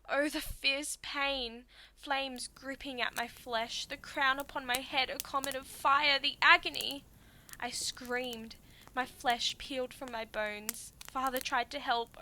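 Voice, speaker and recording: A woman performing a theatrical monologue as a tragic young Greek princess, wronged and anguished, with impassioned, declamatory delivery. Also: on the high side. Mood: agonised, accusing. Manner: impassioned, declamatory, swinging from hushed to outcries.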